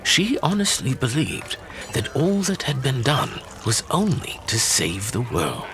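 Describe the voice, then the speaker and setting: An actor playing a measured storyteller who recounts legends in solemn, deliberate, theatrical fantasy narration. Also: male voice, mid-range voice, wildly swooping pitch, background noise.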